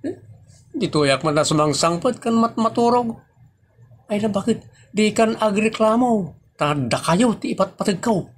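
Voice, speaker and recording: A man's voice: high voice, expressive, unhurried. A man with an Ilocano accent playing a carefree, hard-drinking man who whines defensively in a comedic audio drama. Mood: boastful, exasperated.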